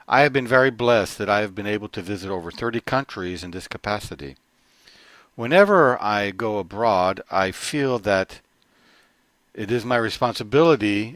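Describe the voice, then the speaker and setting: A man's voice: on the low side, a bit bright, no room sound. Earnest middle-aged man, dry sound. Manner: earnest, halting with restarts. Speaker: corporate trainer. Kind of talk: personal testimony to camera.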